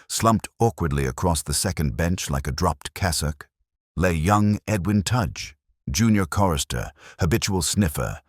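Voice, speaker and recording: A man reading a scripted mystery story as narrator in a wry, measured, literary manner. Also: rumbling low, no room sound, clean studio sound.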